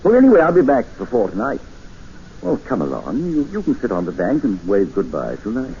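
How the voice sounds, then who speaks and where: An actor playing a calm, matter-of-fact doctor with a British accent in a 1950s radio drama. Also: male voice, medium pitch, brisk. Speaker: doctor on an expedition. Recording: muffled 1950s radio broadcast with hiss.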